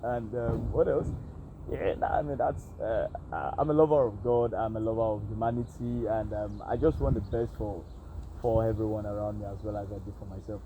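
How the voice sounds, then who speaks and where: A man's voice: fairly low pitch, steady tone, a little fast, phone-quality sound, background noise. A young Nigerian man with a Nigerian accent, vlogging in a hesitant, reflective way, full of fillers. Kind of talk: casual self-interview monologue.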